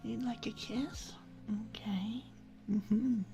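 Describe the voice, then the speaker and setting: A woman hosting an ASMR live stream, chatting casually and affectionately to viewers in a soft, breathy voice that often drops into a whisper. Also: a little high, slow, over music, rough recording. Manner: affectionate, intimate, uses pet names.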